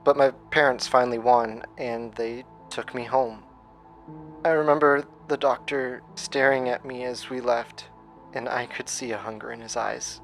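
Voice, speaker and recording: An actor playing a haunted, traumatised man, telling his story in a halting, grave horror monologue. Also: mid-range voice, steady tone, fairly bright tone, soft background music, natural voice, low-quality recording. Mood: pained, uneasy. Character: haunted, traumatised man recounting a childhood nightmare.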